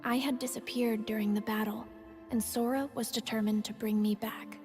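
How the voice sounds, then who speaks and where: A woman playing an earnest young game heroine, recounting her friends' battles in measured, solemn narration. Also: fairly high pitch, fairly even intonation, darkish tone, soft background music, lightly acted. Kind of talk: scripted story recap narration.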